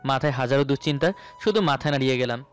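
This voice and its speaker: A man tells a story in the first person as a dramatic narrator. His pitch is middling for a man, and soft music plays behind him. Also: very fast. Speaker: narrator of a romantic audio story. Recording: music bed under the voice. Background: background music.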